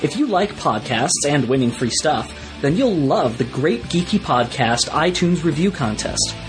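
Lively man announcing over guitar music. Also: a little high, a little slow, rough recording. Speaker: promo announcer. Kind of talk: scripted podcast advertisement.

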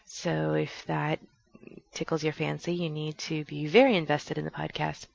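A woman's voice: low voice, little pitch movement, normal speed, vocal fry, a little mellow, highs cut off. A woman hosting a podcast in a casual, rambling, chatty and self-deprecating way, recorded on a headset. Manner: chatty, self-deprecating, laughing at own slips. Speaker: podcast host.

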